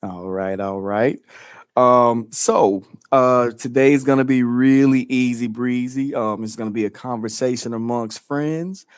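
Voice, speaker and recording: A man's voice: mid-range voice, steady tone, unhurried, clean tone, no room sound. Chatty man hosting a podcast, dry sound. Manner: playful, chatty, many fillers. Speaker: podcast co-host. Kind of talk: casual podcast chat.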